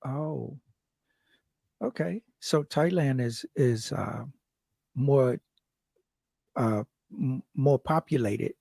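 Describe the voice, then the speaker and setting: Gravelly-voiced male interviewer. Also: medium pitch, extremely slow, pauses often, mellow, dry recording. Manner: short prompting questions, hesitant. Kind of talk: casual interview.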